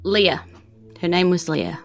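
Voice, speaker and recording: A woman's voice: on the low side, vocal fry, faint music behind. Woman playing a bitter, defensive male designer. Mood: bitter, grieving. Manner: evasive, halting, defensive.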